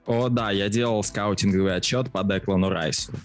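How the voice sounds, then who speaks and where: Low-voiced man analyzing football tactics. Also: steady tone, brisk, soft background music. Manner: opens by reciting a short poem, then talks analytically with many fillers. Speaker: podcast co-host. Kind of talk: casual football podcast chat.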